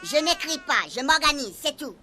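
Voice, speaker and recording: A woman's voice: sits high, lively intonation, normal speed, background noise. A woman playing a snappy, exasperated, overworked secretary in a radio soap. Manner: exasperated, insistent.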